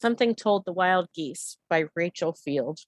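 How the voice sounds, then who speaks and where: A woman's voice: lowish voice, expressive, moderate pace, no room sound. A woman reading a short poem aloud as a member of a congregation. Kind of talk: poetry reading.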